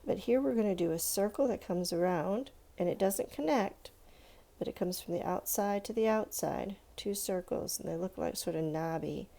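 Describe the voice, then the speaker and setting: Woman, children's art teacher: low, very mellow voice with some gravel, informal, encouraging, talks through each step. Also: moderate pace. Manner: encouraging, thinking aloud, addressing young viewers with "let's" and check-ins. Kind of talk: step-by-step drawing lesson, informal and conversational.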